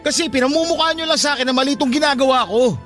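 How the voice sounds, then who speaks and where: A man's voice: extremely high pitch, rapid-fire, fairly bright tone, faint music behind. An actor playing a struggling grown son in a radio drama, defensive and bitter, ashamed of how he earns money. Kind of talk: Tagalog radio drama acting.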